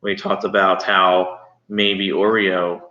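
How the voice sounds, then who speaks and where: A low, forward-sounding male voice on a slightly dull recording. He speaks as a grade school teacher, talking pupils through things in a conversational, instructive way. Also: flat delivery, slow pace, bright, forceful tone. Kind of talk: informal online read-aloud and assignment talk to pupils.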